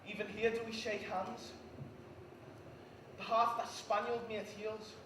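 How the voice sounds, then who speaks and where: A man's voice: high-pitched, level tone, measured pace. A man playing a betrayed, despairing Roman general in an impassioned, declamatory classical Shakespeare monologue on stage. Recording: distant echoey room with background noise.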